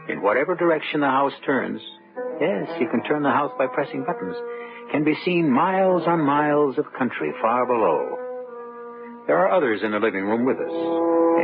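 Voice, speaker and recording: A man narrating a scripted radio drama as a measured, scene-setting storyteller. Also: mid-range voice, animated, lightly acted. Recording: tinny narrow band with music behind.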